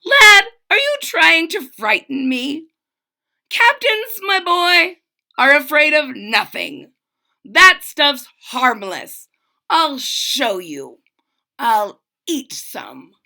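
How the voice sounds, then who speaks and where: A woman's voice: sits high, big pitch swings, drawn-out words, gravelly, sharp tone, pitched above the performer's own voice, full character voice. A woman voicing a vain male captain of the guards in a children's storybook, jolly, boastful and scoffing. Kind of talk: children's storybook read-aloud.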